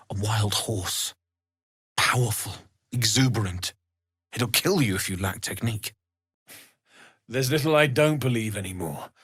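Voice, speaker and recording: Kindly young blacksmith from a fantasy game, husky mid voice, sincere, close dry sound. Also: male voice, mid-range voice, fairly bright tone, no room sound. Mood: reflective, then curious, eager. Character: earnest, kindly young male infernal blacksmith refugee. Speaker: video game voice actor. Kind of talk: scripted fantasy game dialogue.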